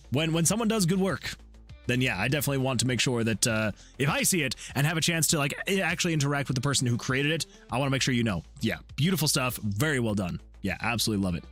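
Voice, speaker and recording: A man's voice: mid-range voice, a bit bright. A man streaming his indie game development, chatting in a loose, effusive way and often repeating his praise. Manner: effusive, rambling, repeats himself. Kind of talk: casual livestream chat.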